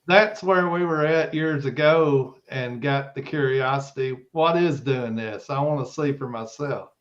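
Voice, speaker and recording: A man's voice: mid-range voice, a little slow, highs cut off. A man talking casually as a podcast guest in a folksy, plain-spoken way. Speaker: podcast guest, Bigfoot investigator. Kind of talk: casual conversational discussion.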